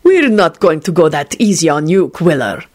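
A woman's voice: sits low, big pitch swings, normal speed, a little mellow. A woman voicing a threatening, sneering male leader of armed intruders in a story reading.

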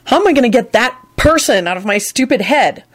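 Woman voicing an excitable, hot-tempered young man. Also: a little high, a little fast, voice pushed up. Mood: resolute. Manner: blurting, emphatic, exclamatory.

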